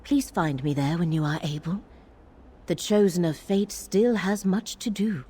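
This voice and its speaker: A woman's voice: on the low side, unhurried, dark tone, slightly rough. An actress playing a grave female scholar in a fantasy game, speaking formally and precisely with composure. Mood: composed, grave.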